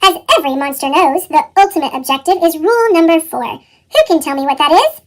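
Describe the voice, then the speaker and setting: A woman voicing a strict male monster teacher in a storybook, formal and scolding, with a chipmunk pitch effect. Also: animated, brisk. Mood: instructive. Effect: chipmunk pitch-shifted voice.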